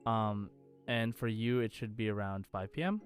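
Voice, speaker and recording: A man's voice: fairly low pitch, normal speed. Man chatting on a gaming podcast over music. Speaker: podcast host. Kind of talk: casual gaming podcast chat.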